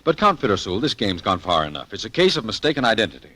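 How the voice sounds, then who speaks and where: An actor playing a wry, exasperated American hero in 1940s radio style, with a flippant, indignant manner. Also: male voice, lowish voice, big pitch swings, fast, bright, forceful tone, heavily acted. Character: wry, exasperated American man mistaken for royalty.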